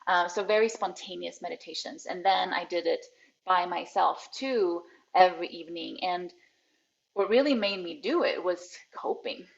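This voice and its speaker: A woman's voice: lowish voice, moderate pace, vocal fry. A woman in her forties, a coach and healer, telling her personal story as a podcast guest, reflective and laughing often mid-sentence. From Sweden. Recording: dull lo-fi audio.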